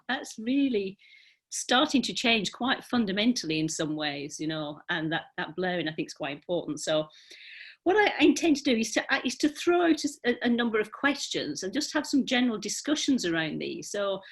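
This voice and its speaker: A woman's voice: mid-range voice, vocal fry. A woman chairing an online academic panel, chatty and often filling her sentences with "you know". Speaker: session chair. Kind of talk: moderating a conference panel.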